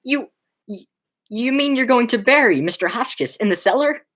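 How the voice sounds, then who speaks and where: Young woman playing a frantic, stammering nephew, swooping pitch. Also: expressive, moderate pace, a bit bright. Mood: horrified, frantic. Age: teenager. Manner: stammering, exclamatory. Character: agitated nephew, a young man, increasingly horrified. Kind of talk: high school radio play acting.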